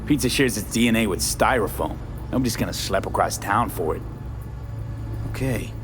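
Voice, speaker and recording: A low male voice, gruff and terse, for a video game mercenary played by a male actor. He investigates with dry sarcasm, over clearly audible music. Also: moderate pace, lightly acted. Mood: wry, analytical. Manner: terse, sardonic.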